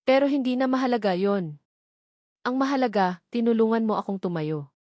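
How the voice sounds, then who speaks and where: A woman reading the part of a calm, soft-spoken, wealthy male politician in an audio love story. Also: a little high, fairly quick, dry recording, clean studio sound, natural voice. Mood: gentle, nostalgic.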